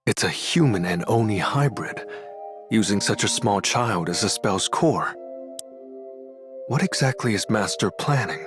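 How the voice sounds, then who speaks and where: An actor voicing a calm, thoughtful young male sorcerer with a measured, serious delivery in an anime game style. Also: lowish voice. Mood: troubled. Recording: loud music behind the voice.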